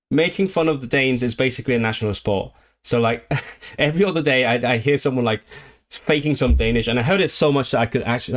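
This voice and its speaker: A man at a home streaming desk, chatting to his viewers in a loose, rambling way. He keeps restarting his sentences and filling pauses, and he sounds eager about his subject. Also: medium pitch. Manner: rambling, many restarts and fillers; enthusiastic about languages. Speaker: language livestreamer. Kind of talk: casual livestream chat, reading viewer comments.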